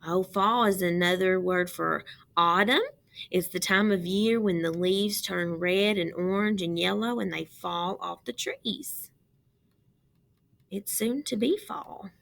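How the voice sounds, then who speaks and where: A woman teacher reads a children's book aloud in a bright, forceful voice with noticeable creak. She goes slowly, stopping to explain things simply and put questions to young listeners. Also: on the low side.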